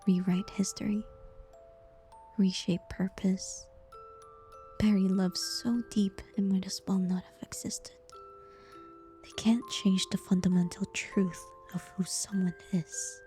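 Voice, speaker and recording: A woman playing a tender, heartbroken bard facing a former lover in an intimate fantasy ASMR roleplay, speaking softly and wistfully in a breathy near-whisper. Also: mid-range voice, level tone, soft background music, close to an everyday voice. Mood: solemn conviction. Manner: slow, gentle, wistful delivery with pauses.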